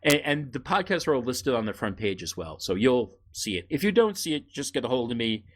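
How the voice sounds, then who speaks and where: Man, mid-pitched voice, podcast co-host, casual conversation, halting delivery, joking. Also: big pitch swings.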